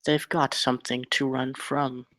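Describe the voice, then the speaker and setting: Casting: male actor for wry, uncaring opium-den keeper, medium pitch, close dry recording, fantasy script read. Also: level tone, a little slow, a bit bright, no echo, lightly acted. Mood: knowing, cautioning. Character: detached, wry male drug-den dealer with many hands. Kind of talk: screenplay table read.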